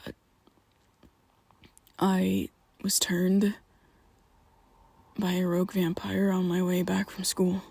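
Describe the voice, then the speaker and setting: A guilty, tender young vampire confides in his best friend, as a man acts him out in an ASMR roleplay. His voice is a husky, gravelly near-whisper, pitched low and paced slowly with stumbling restarts. It sits close and dry, with no room around it. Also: no echo, full character voice. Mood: confessing. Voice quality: soft breathy half-whisper. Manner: hesitant, stumbling restarts, pleading.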